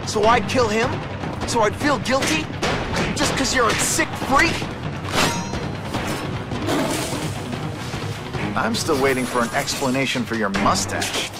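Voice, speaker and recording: An actor playing a young, wisecracking superhero in a video game, earnest and indignant, with a sarcastic, expressive delivery. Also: male voice, high voice, moderate pace, loud music behind the voice. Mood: angry, betrayed. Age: young adult. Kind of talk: dramatic video game voice acting.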